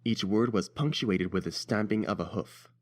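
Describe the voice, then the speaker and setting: A man's voice: on the low side, moderate pace. A man reading scripted story narration as the narrator.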